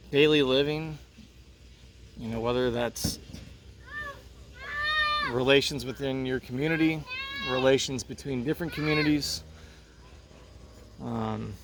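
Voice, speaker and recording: A man's voice: mid-range voice, distant mic. A man speaking as a teacher, reading a children's play aloud to his students. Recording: noticeable background noise.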